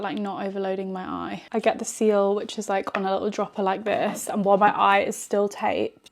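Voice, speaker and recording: A woman's voice: medium pitch, a little hiss or hum. Chatty young woman, a bit congested. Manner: chatty and self-deprecating, swears casually. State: slightly congested from a cold. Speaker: beauty vlogger. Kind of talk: casual chat while doing a beauty routine. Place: bedroom at a dresser mirror.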